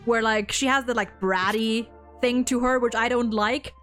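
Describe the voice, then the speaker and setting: A woman's voice: on the high side, steady tone, moderate pace, a bit bright, soft background music. A woman host talking casually about a video game, rambling and repeating words as she goes, with the odd casual swear.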